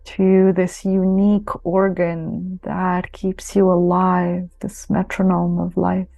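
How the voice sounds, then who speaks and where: A woman guides a meditation with a soothing, very slow delivery and long pauses. Her low-set voice stays soft-toned and mellow, with little melodic movement, over gentle music. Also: completely flat delivery, gravelly, no echo, muffled recording. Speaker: yoga nidra guide.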